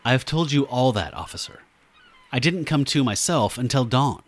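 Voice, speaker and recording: A man's voice: medium pitch, lively intonation, normal speed, lightly acted. An actor playing a stiff, haughty man who speaks formally and with prim condescension, in the style of an old radio show. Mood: irritated.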